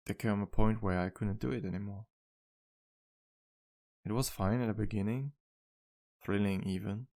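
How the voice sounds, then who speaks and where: A man playing a charming, modest vampire bartender in an intimate ASMR roleplay, speaking gently with courteous, slightly formal phrasing. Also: fairly low pitch, steady tone, normal speed, pauses often, natural voice. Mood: somber, remorseful. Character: charming, polite, modest male vampire bartender with guilty past. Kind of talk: ASMR roleplay, intimate one-sided dialogue.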